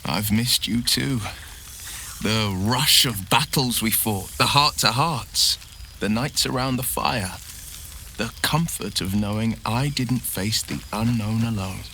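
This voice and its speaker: An earnest, bright-voiced heroic adventurer. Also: male voice, lowish voice, slow, slight background noise, heavily acted. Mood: heartfelt. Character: warm heroic adventurer man, loyal, wry, noble-minded. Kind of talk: video game dialogue acting.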